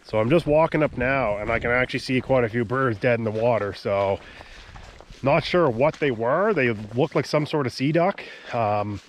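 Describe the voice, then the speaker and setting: A man, nasal and stuffed up from a cold, talks casually to a handheld camera out on an open tidal marsh. His voice sits at an ordinary pitch, with a faint hiss of background noise behind him. He keeps trailing off with "so" and "um" as he narrates. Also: lively intonation, moderate pace. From Nova Scotia, Canada. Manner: chatty, trailing off with "so" and "um". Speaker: hunting vlogger, channel host. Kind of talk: casual outdoor vlog narration.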